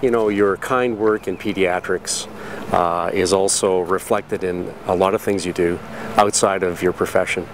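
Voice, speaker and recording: Low-voiced middle-aged man interviewing conversationally. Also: steady tone, normal speed, noisy background. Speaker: interviewer, physician colleague.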